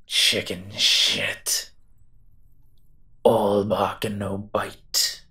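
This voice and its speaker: A menacing monster detective from an audio roleplay, acted by a man, speaks very slowly in a bright, forceful voice. He is cocky and protective, drawing out his taunts and threats and letting words fall away into breath. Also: fairly low pitch, level tone, gravelly, exaggerated voice. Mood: threatening. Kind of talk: roleplay audio drama acting.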